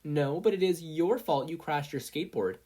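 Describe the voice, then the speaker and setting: A woman's voice: sits low, moderate pace, mellow, slightly rough, voice pushed up. A woman voicing a feisty, combative teenage girl trading retorts in a story reading. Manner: combative, teasing.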